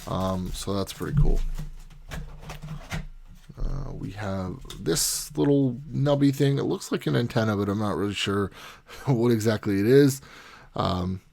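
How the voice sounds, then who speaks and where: A man's voice: lowish voice, unhurried, gravelly, long pauses, darkish tone. A man hosting a casual unboxing video, talking informally and explaining things step by step. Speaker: video host, product unboxer.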